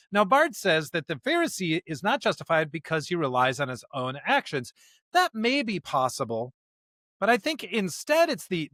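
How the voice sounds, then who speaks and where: A man's voice: a little high, fairly bright tone, no echo. A man co-hosting a podcast with conversational theological commentary, stressing his points with asides and rhetorical questions. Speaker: podcast host.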